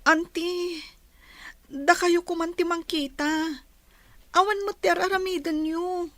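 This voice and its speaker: Woman acting a very high-pitched pleading Filipino girl. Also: fairly even intonation, unhurried, no echo. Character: pleading young farm girl longing to study. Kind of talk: Ilocano radio drama acting.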